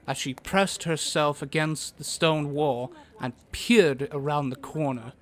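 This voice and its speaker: A man's voice: a little high, measured pace. A man reading scripted narration for a fantasy audio story.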